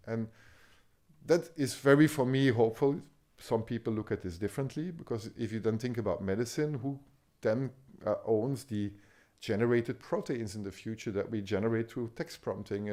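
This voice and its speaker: A man's voice: mid-range voice, warm tone. A middle-aged man from Belgium giving a talk on medical AI, hesitant, with many ums and restarts. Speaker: speaker on medical AI. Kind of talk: conference talk.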